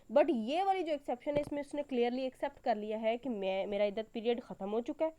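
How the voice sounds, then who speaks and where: Female law lecturer, slightly high yet soft-toned voice, patient step-by-step explainer. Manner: didactic, repetitive step-by-step explaining with frequent check words. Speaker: law teacher. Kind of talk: explanatory lecture, reading legal text and explaining it.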